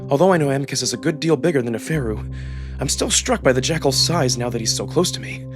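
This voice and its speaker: A man narrating a first-person story in a theatrical, expressive, scripted style. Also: mid-range voice, fast, music in the background. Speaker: narrator and voice actor.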